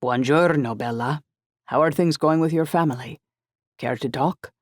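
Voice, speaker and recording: A narrator voicing a warm, attentive young Italian man with an Italian accent and a gentle, inquiring manner. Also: medium pitch, moderate pace, no echo, studio quality, lightly acted.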